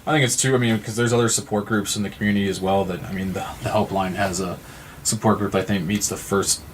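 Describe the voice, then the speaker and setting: A man's voice: lowish voice, fairly even intonation, fast talker, a little hiss or hum. A man in his thirties speaking earnestly and conversationally as an interview guest, in a wordy way that circles back on phrases. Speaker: podcast guest from a support non-profit.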